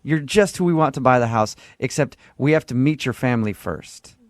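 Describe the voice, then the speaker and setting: A man's voice: medium pitch, animated, brisk. A man hosting a morning radio show with casual, comedic, teasing banter. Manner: joking, teasing. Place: radio studio.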